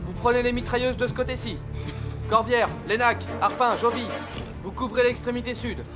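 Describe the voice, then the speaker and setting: Comic war audio drama: exasperated, bossy trench captain played by a man, high-pitched voice, barks and swears, music running behind. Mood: commanding, urgent. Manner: exasperated, barking orders, swearing. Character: harried, irritable, bossy French army captain in the trenches.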